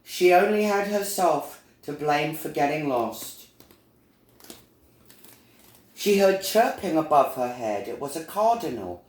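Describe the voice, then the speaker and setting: A man narrating a children's storybook in a deliberate, expressive storytelling manner, recorded in an echoey room. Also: on the high side. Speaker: storyteller reading a children's book.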